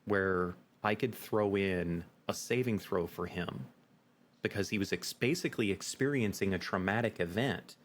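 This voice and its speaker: A man with a very deep voice speaks as an interview guest. He lays out his points emphatically, in a conversational explaining way, with faint noise behind him. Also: moderate pace. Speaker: game master guest.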